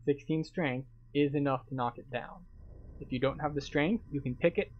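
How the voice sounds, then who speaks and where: A man's voice: a little high, normal speed, narrow, tinny audio, slight background noise. A man hosting a game tour, explaining each step in an instructional, lightly playful way. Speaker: host of a game playthrough guide. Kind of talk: guided game commentary, partly scripted. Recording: music playing behind the voice.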